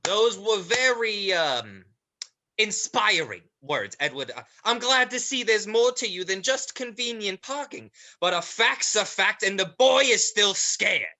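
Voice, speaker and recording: A man's voice: sits high, big pitch swings, measured pace, sharp tone, heavily acted. A man playing a sly, cowardly weasel villain in a play reading, stammering and mock-formal. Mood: grudging, sardonic. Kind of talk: dramatized play script reading.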